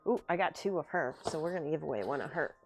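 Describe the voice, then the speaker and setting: A woman's voice: sits low, lively intonation, fast talker. A woman hosting a casual livestream, chatting and laughing as she reads viewer comments aloud. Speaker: miniature painting streamer. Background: faint background music.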